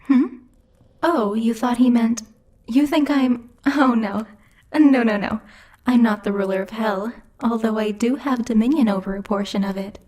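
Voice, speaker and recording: A woman's voice: on the high side, unhurried, a little mellow. A woman playing a bossy, haughty demon lord who teases and mocks imperiously in a theatrical ASMR roleplay. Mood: amused, condescending, then flustered denial. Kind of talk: ASMR roleplay, scripted character acting.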